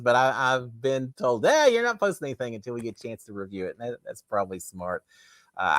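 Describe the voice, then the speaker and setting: A middle-aged man, hosting a casual live chat and answering viewer comments, his throat slightly raspy and tired, rambling with many restarts. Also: mid-range voice, pushed and bright, dry recording. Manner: rambling with frequent restarts and fillers. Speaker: livestream host.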